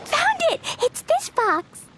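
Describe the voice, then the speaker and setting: A woman's voice: extremely high pitch, very animated, takes it slowly, fairly bright tone, heavily acted. A woman voicing a bubbly, eager, innocent little girl in an anime-style video game, childlike and earnest. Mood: excited. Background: game music.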